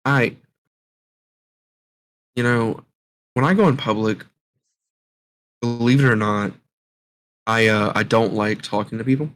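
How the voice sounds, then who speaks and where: A young man co-hosting a podcast in casual chat, talking in a dry, low-key, slightly awkward way. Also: a little slow, pauses often, a bit bright. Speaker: podcast co-host.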